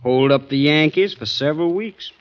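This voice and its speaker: An actor playing a polite, plain-spoken Confederate corporal with a Southern US accent in an old radio drama. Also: male voice, fairly high pitch, normal speed, natural voice. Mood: polite, matter-of-fact. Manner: deferential, folksy. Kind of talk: 1940s-50s radio acting. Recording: dull vintage radio recording.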